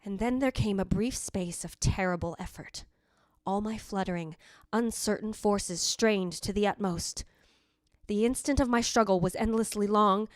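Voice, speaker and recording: A woman's voice: mid-range voice, no room sound, clean studio sound, lightly acted. A woman playing the jealous, anguished ghost of a dead wife, narrating in a literary, theatrical old-time radio drama style. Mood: intense, dread. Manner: literary, theatrical, deliberate delivery.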